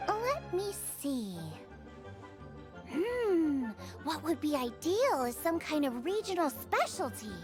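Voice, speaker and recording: A woman's voice: high voice, big pitch swings, slow, music in the background, heavily acted. A woman voicing a spirited teenage girl chef in a video game, bubbly, slangy and emphatic. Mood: pondering, playful. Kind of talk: video game character acting.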